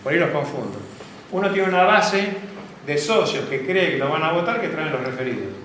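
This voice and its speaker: A man's voice: fairly high pitch, expressive, a little fast, fairly bright tone. A man with an Argentine accent gives an open class, telling anecdotes and asking the audience whether they follow him. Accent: Argentine Spanish. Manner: anecdotal, asks the audience for confirmation. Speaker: guest lecturer. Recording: very echoey classroom, faint background noise.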